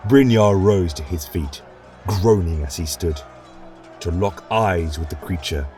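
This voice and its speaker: A man reading a fantasy story aloud as a narrator, in a measured, dramatic way. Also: low voice, mellow, faint music behind.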